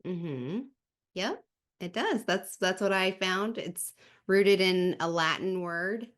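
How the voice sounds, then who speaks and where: A woman's voice: fairly low pitch, expressive, slow pace. A woman hosting a podcast, asking questions in a friendly, affirming way during a casual interview. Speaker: podcast host.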